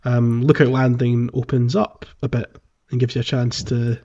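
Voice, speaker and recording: A man co-hosting a podcast, chatting casually about a video game in a hesitant, halting way. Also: medium pitch, flat delivery, mellow, lo-fi sound. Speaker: podcast co-host.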